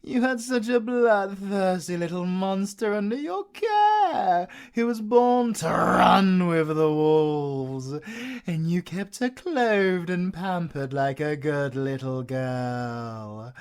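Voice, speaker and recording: A flamboyant British werewolf villain in an audio drama. He drawls slowly and theatrically, with long pauses and big swings in pitch. He sounds smug, mocking and cruel. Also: lively intonation, clean tone, full character voice. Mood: gloating. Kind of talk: audio roleplay acting.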